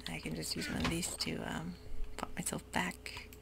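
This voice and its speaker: Low whispery woman, gravelly, noisy. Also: flat delivery. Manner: whispery, unhurried, with frequent ums. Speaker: ASMR gaming creator. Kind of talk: soft-spoken ASMR gameplay commentary. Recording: noisy home recording.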